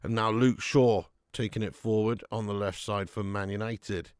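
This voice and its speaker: A man hosting a live fan watch-along of a football match at home, chatting to viewers with sweary banter and bursting into loud cheering at chances. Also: lowish voice, fairly even intonation, normal speed, dry recording. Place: at home in front of a camera.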